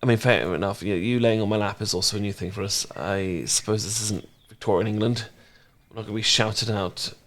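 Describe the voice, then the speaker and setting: A man playing a smitten, bashful housemate in an intimate romance audio roleplay, speaking gently and sometimes haltingly. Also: fairly low pitch, fairly even intonation, close to an everyday voice. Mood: gentle, relaxed. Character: smitten, bashful male housemate, tender poetry reader.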